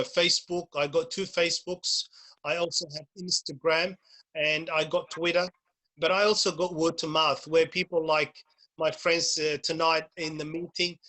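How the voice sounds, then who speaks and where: A man's voice: a little high, sharp tone. A man, giving an informal, plain-spoken talk as a guest speaker over a video call, often repeating himself. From Somalia. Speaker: guest speaker, youth worker. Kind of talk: informal presentation.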